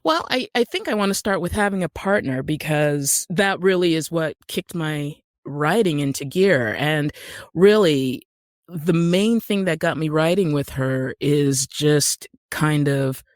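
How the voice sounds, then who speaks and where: A woman's voice: low voice, animated, unhurried, no room sound, studio quality. A middle-aged American woman telling stories casually as an interview guest, with wry, self-deprecating humour. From Utah, United States. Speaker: podcast guest, actor and writer.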